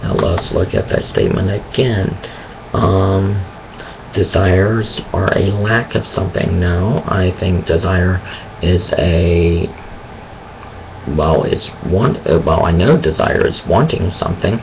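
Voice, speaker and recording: Hoarse, unhurried man with a creaky voice, halting and self-correcting. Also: deep voice, lo-fi sound, background noise. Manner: hesitant, thinking aloud with many pauses and restarts. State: raspy voice. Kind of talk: casual monologue on faith.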